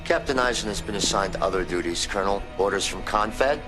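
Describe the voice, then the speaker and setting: An actor playing a middle-aged, officious military captain in a science fiction game, speaking formally and with self-importance. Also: male voice, fairly low pitch, brisk, over music, natural voice, poor audio. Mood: cold.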